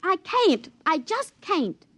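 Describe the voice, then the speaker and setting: A woman's voice: very high voice, very animated, slow, a bit bright, no room sound, exaggerated voice. An actress playing a naive, moody young backwoods girl in a radio drama, with a rural American hill-country accent and a hesitant, folksy way of talking. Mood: reluctant, plaintive. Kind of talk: 1950s radio drama acting. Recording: dry, dull vintage radio recording.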